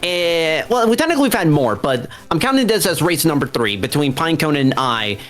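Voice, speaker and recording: A speedrun racer casually commentating on their own gameplay, talking through each move in an animated way. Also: medium pitch, fairly quick, soft background music. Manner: animated, self-narrating play.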